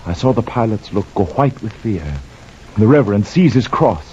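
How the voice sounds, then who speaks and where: A man's voice: on the low side, big pitch swings, mellow. An actor playing a haunted, penitent mariner who tells his tale in grave, poetic radio-drama verse. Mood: solemn awe. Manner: slow, solemn, impassioned, poetic delivery. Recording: dull lo-fi radio recording, clear noise.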